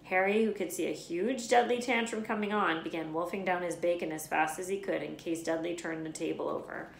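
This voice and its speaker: A woman's voice: on the low side, a little fast, vocal fry, a little hiss or hum. Woman reading a storybook, echoey room. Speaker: read-aloud storyteller, teacher. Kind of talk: expressive children's storybook narration.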